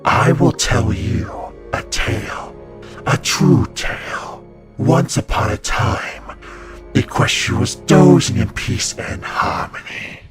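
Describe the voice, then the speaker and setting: A man's voice: mid-range voice, big pitch swings, slow pace, music in the background. A man playing an evil ancient winged serpent spirit in a cartoon comic dub, gloating and mocking with theatrical delivery. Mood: gloating, sinister.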